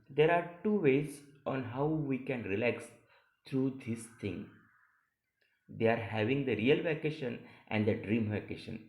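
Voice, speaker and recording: A male college teacher reads practice passages aloud for a lesson. His delivery is deliberate and a little halting, with phrases repeated. His mid-pitched voice rings with the echo of the room. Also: darkish tone. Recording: echoey room sound.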